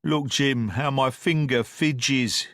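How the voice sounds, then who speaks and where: A man voicing an old drunken sea captain, feeble but blustering, who wheedles and talks in rough sailor's slang. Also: medium pitch, slow pace, fairly bright tone, dry recording, studio quality, pitched above the performer's own voice. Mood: pleading.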